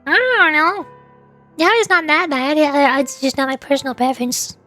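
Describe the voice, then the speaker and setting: A woman's voice: high-pitched, normal speed, sharp tone, faint music behind. A young woman streaming live, chatting casually in a rambling, hesitant way full of fillers and restarts.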